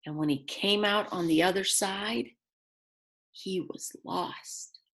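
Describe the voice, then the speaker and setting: A woman reads a children's story at a slow, deliberate pace in a mid-range voice. She leans on key words for drama and drops in simple, chatty remarks for her young listeners. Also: medium pitch, vocal fry, fairly bright tone. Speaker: children's read-aloud storyteller. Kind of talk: expressive picture-book reading with asides to young listeners.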